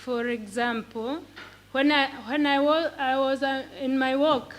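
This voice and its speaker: A woman with a Tanzanian accent and Swahili as her first language gives a talk in a fairly high voice. She speaks slowly and haltingly, often repeating a word while she looks for the next one. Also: fairly even intonation. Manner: halting, repeating words as she searches for them. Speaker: pre-primary teacher and conference speaker. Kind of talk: prepared talk in simple English.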